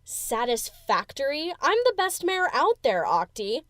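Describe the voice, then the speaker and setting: A woman's voice: high-pitched, very animated, unhurried, fairly bright tone, dry recording, studio quality, full character voice. A woman playing a brash, cheeky female DJ pony who talks in a casual, cocky, teasing way in an audio drama. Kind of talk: fan audio drama character acting.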